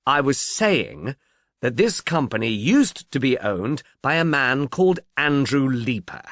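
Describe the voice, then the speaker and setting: A man voicing an irritable, witty professor of English in an audiobook, brisk and dryly emphatic. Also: mid-range voice, big pitch swings, fairly bright tone, dry recording, clean studio sound. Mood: gloomy, dogged. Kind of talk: audiobook character dialogue.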